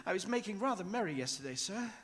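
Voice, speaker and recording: An actor playing a meek, anxious clerk in a radio drama, timid and deferential. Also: male voice, high voice, sing-song, fast, a bit bright. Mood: apologetic. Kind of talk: theatrical radio drama acting.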